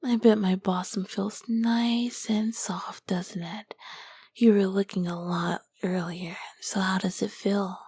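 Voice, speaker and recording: Slow, breathy whispering succubus, acted by a woman. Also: mid-range voice, fairly even intonation, darkish tone, no room sound, studio quality. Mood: soothing, affectionate. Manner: slow, soothing, doting endearments. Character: ancient nurturing succubus queen, tender demon woman. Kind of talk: ASMR roleplay.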